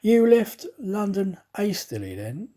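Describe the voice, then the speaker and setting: Man acting a probing, animated storybook detective. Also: sits high, pitched above the performer's own voice, heavily acted. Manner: probing, deliberate, confident. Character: suspicious, probing, self-assured male detective.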